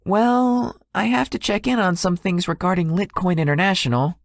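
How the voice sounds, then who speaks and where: A close, dry recording of a woman playing a shy young businessman in a visual novel. The voice is on the low side and moves freely up and down, with a polite, slightly formal, sleepy homebody temperament. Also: expressive, moderate pace, no echo. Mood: earnest, mild. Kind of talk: visual novel character dialogue.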